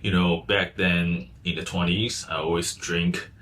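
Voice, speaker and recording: A man's voice: sits low, measured pace, slight background noise. A man in his thirties who grew up in Taiwan, talking casually and reflectively about his life as a freelancer, with fillers and restarts. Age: 30s. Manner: relaxed, rambling, with fillers and restarts. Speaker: vlogger, freelance designer and coach.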